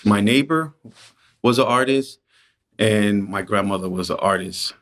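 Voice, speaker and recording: A middle-aged man from the Dominican Republic talks through his life as a painter in a relaxed, reflective way. His voice sits somewhat low, and his storytelling is casual and unhurried. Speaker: painter and muralist, guest.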